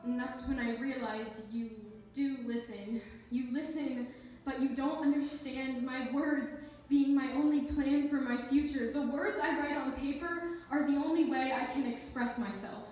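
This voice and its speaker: A woman's voice: high voice, fairly even intonation, a little slow, darkish tone. A woman performing spoken-word poetry live at a slam event. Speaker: slam poet. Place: echoey indoor event space, live performance. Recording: very echoey room, distant, faint noise.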